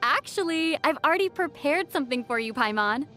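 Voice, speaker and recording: A woman playing a young female adventurer in a video game, gentle and playfully wry in manner. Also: high-pitched, expressive, fairly quick. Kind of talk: game voice acting. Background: faint background music.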